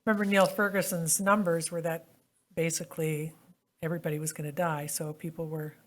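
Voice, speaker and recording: A woman's voice: low voice, little pitch movement, fairly quick. A woman on a hearing panel who questions a witness and sums up his points. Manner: probing, summarizing.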